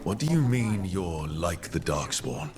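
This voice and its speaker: A man's voice: sits low, sing-song, a little slow, a little mellow, slight background noise. A man voicing a friendly, inquisitive party leader in a video game, with a casual, supportive manner. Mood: questioning, steady.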